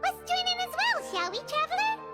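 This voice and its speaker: Chatty high-pitched game fairy, cheeky and exclaiming, with music behind. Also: female voice, big pitch swings, fairly quick. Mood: teasing. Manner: teasing, bouncy, exclaiming. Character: small floating female fairy companion, cheeky and chatty.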